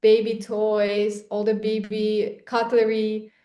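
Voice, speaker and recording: A woman's voice: medium pitch, completely flat delivery, slow, lo-fi sound. A young woman from Kazakhstan with a Kazakh accent, answering interview questions about her research in an explanatory way, with frequent ums and restarts. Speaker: microplastics researcher, guest. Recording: echoey room.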